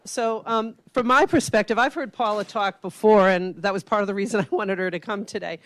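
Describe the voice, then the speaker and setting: A woman hosting a grand rounds lecture, making announcements and thanks in a halting way, full of fillers. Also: medium pitch, a crowd in the background, noisy background. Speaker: host of the grand rounds, division director. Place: lecture hall.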